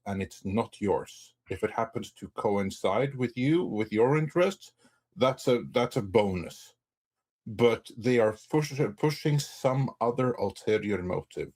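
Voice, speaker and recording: Guy commentator on a panel, lowish voice, explains stuff emphatically bit by bit. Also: a little mellow. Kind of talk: opinionated commentary.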